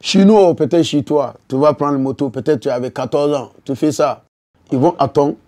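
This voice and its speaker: Slightly high-voiced man, emphatic storyteller. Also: moderate pace, dark tone. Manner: emphatic, repetitive, explains by example. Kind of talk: casual chat. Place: busy barbershop, indoors. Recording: faint barbershop background noise.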